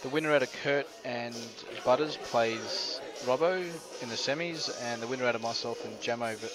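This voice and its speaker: A man's voice: medium pitch, moderate pace, over music, low-quality recording. Man with a cold commentating. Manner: tired, a bit lightheaded. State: nasal from a cold. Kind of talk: live sports commentary.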